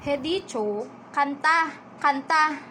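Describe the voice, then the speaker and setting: Cruel, imperious wife played by a woman, high-pitched, radio drama, clearly noisy recording. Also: takes it slowly, bright, forceful tone, heavily acted. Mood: commanding, scornful. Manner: imperious, commanding, mocking. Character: cruel, domineering Spanish-speaking wife tormenting a madwoman. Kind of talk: amateur radio play acting. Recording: noisy recording with background ambience.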